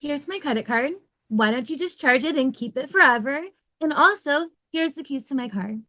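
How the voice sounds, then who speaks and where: A woman's voice: sits high, lively intonation, normal speed, sharp tone, dry recording, clean studio sound. A woman reading an awkward, rambling, dazed man in a sitcom table read.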